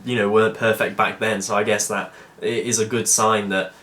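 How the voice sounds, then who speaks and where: A man's voice: lowish voice, little pitch movement, moderate pace, a bit bright. A man interviewing a guest, asking questions with hesitant repeated words.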